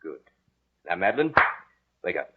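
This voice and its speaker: An actor playing a sinister, controlling man with a hypnotic hold, speaking in a commanding, theatrical, measured way in an old-time radio horror drama. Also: deep voice, sing-song, breathy edge, full character voice. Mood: quietly controlling. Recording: muffled scratchy old radio broadcast, faint music.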